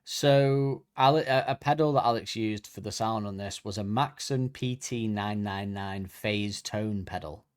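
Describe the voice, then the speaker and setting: A man's voice: fairly low pitch, unhurried, hardly any pauses, clear, resonant tone. A man co-hosting a podcast, chatting casually and reading facts from notes while correcting himself as he goes. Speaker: podcast co-host.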